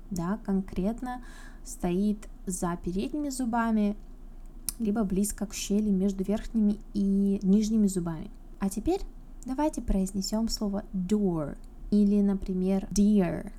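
A woman's voice: medium pitch, mellow. A woman teaching English pronunciation in a casual video lesson, explaining things in a chatty way and speaking straight to her viewers. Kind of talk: informal video lesson to camera.

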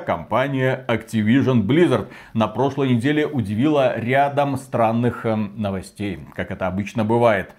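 Male gaming news co-host, mid-pitched. Explanatory, conversational commentary with ironic bits of imagined dialogue. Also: darkish tone.

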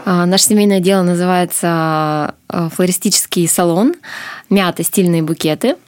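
A woman's voice: lowish voice, moderate pace. A woman, talking in an interview as a business owner and checking with small tag questions as she explains. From Sakhalin, Russian Far East. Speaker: florist shop owner, podcast guest. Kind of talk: interview talk.

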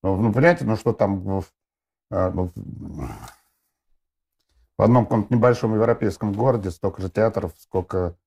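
A man's voice: lowish voice, normal speed, gravelly, pauses often, mellow, slightly rough. A middle-aged man, a film director, talking casually in a discussion, rambling with many fillers and restarts.

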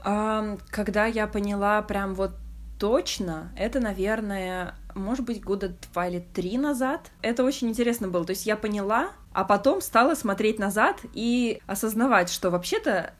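A woman's voice: medium pitch, moderate pace. Young woman talking candidly, searching for words. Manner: frank, reflective, searching for words. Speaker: podcast host. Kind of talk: candid casual conversation.

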